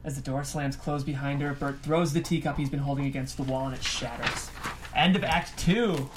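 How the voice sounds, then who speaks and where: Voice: medium pitch, normal speed, noisy background. A narrator reading scripted stage directions aloud at a table read.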